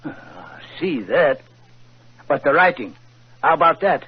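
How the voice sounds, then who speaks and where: An actor playing an excitable, middle-aged male pawnbroker, in 1940s radio acting, homely and easily astonished. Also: on the high side, unhurried, slightly rough, heavily acted. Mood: folksy. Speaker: pawnbroker client. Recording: muffled old radio broadcast.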